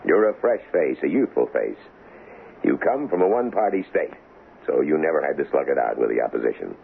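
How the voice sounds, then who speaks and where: Casting: shrewd male party boss for vintage radio drama, gravel-throated and very animated, folksy salesman's patter, muffled old broadcast sound. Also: rumbling low, fairly quick, a little hiss or hum, exaggerated voice. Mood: wry, persuasive. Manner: glib, wheedling salesman's patter, wry. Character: shrewd, folksy male political kingmaker, cynical and pushy.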